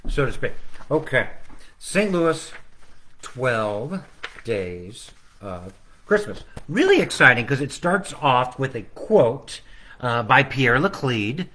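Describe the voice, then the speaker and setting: A man's voice: mid-range voice, very animated, slow, bright, forceful tone. A man hosting a casual livestreamed storytime at home, reading his picture book aloud with chatty, rambling, playful asides to the audience. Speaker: children's author reading own book aloud. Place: at home, living room.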